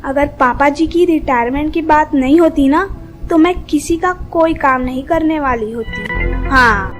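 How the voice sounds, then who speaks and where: Woman playing a high-pitched scheming young daughter-in-law. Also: a little slow, faint music behind. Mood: resentful, greedy. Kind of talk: Hindi moral story voice acting.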